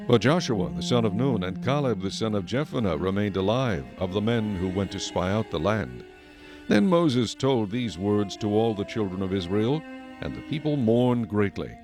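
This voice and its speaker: Casting: male narrator, low register, solemn measured scripture reading, clear music bed. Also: expressive.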